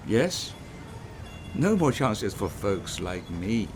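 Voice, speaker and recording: An actor playing a poor, one-legged Chinese railway laborer who now plays music on the street, speaking slowly and humbly. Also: male voice, fairly low pitch, big pitch swings, slight background noise. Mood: weary. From China. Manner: slow, humble and sorrowful. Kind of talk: audio drama acting.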